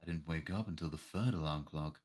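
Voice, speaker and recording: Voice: extremely low pitch, fairly quick, a little mellow, husky. A streamer reading a visual novel's narration aloud in a dramatic way.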